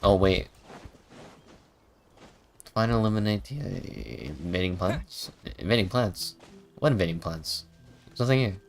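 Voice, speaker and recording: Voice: low voice, animated, a little slow, creaky, long pauses. A streamer giving casual, playful gameplay commentary, muttering along and making little sing-song sound effects. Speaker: game streamer.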